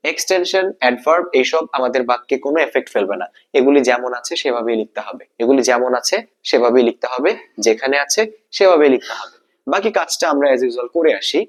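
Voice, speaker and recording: A man with a Bangladeshi accent teaching English grammar step by step, often repeating points and posing questions he then answers. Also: mid-range voice. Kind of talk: explanatory exam-prep lesson, step by step.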